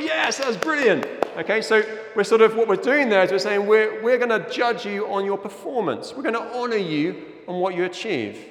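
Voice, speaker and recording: A middle-aged man from Zimbabwe giving an informal, earnest sermon as a church leader, often checking that listeners agree. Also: high-pitched. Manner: earnest, conversational, often seeking agreement with "yeah?". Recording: echoey hall with long reverb.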